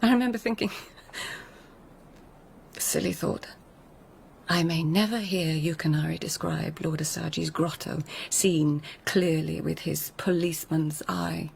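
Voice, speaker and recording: An actress playing a poised, guarded court lady of old Japan in a radio drama, breathy and husky, with measured, understated delivery. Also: deep voice, steady tone, a little mellow, slight background noise, exaggerated voice. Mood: cool, guarded. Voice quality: breathy, husky, near whisper. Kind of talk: radio drama acting, intimate narration and dialogue.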